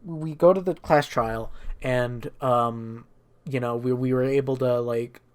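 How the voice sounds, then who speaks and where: Gamer rambling through a story recap, mid pitch, sweary, off the cuff, irreverent. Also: steady tone, unhurried, darkish tone, smooth. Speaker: video game streamer recapping a story. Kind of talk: casual, improvised recap.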